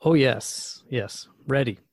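A man's voice: mid-range voice, slow pace, gravelly, slight background noise. A man playing an earnest, inquisitive radio host in a scripted talk-show drama, speaking conversationally. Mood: curious. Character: earnest, curious male radio host questioning a spirit guest.

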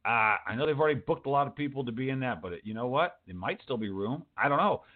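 A man hosts a talk show in a loose, chatty style. He rambles and often replies to his own remarks. His voice sits at a medium pitch, and the audio is narrow, like a telephone line. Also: expressive, fast, background noise. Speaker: host of a writing talk show. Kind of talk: casual, chatty radio hosting. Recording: muffled phone-like audio.

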